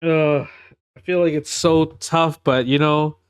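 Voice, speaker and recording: A man's voice: fairly high pitch, fairly even intonation, slow pace, clear, resonant tone, no echo, studio quality. A man co-hosting a podcast, chatting casually with slangy enthusiasm. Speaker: podcast co-host. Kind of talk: casual chat.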